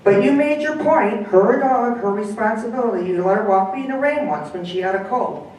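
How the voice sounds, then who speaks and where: A woman's voice: a little high, lively intonation, fairly quick, a little mellow, slight background noise, pitched above the performer's own voice, natural voice. A woman voicing an aging, devoted male dog who needles his owner, recorded far off in an echoey room. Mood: reproachful. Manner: indignant, needling.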